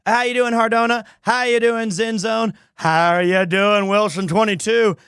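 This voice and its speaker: A man live streaming a game, chatting casually and excitably with viewers, often repeating his phrases. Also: sits high, normal speed, clean tone, dry recording, studio quality. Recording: music faintly behind the voice. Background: faint music.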